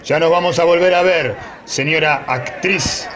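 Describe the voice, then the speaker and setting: Actor haciendo de marido machista argentino, agresivo y despectivo. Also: male voice, on the high side, unhurried, noisy background, close to an everyday voice. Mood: scornful, threatening. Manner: sneering, insulting. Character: aggressive, contemptuous macho husband, middle-aged, menacing. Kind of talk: radio drama acting.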